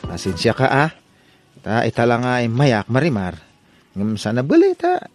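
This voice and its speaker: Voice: medium pitch, wildly swooping pitch, normal speed, faint music behind. Someone acting a wildly swooping, whiny hapless son. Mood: nervous, sheepish. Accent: Ilocano. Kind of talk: Ilocano comedy radio drama acting.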